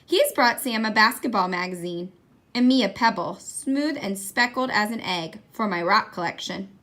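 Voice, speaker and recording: Woman doing a kids book read aloud, really bright voice, expressive. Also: medium pitch, normal speed. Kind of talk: expressive children's picture book reading.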